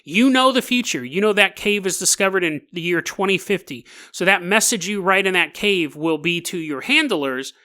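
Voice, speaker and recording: A male podcast host with a high, bright, forceful voice. He tells conspiracy stories in a casual, self-amused way. He leans on key words and repeats himself for emphasis. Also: animated, moderate pace, hardly any pauses. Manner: jokey, self-amused, chuckles through his points. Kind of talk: casual solo storytelling about conspiracy theories.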